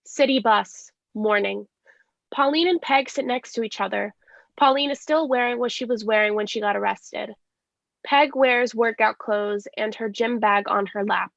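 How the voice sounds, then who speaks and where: A woman's voice: on the high side, normal speed, gravelly, fairly bright tone, no echo, highs cut off. A woman reading stage directions as scripted narration.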